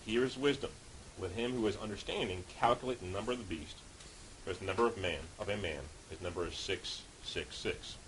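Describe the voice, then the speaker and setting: A man's voice: fairly low pitch, a little fast, a bit bright, poor audio. A man preaching as a street preacher, reading Bible passages and explaining them in an informal teaching way. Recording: hissy background noise.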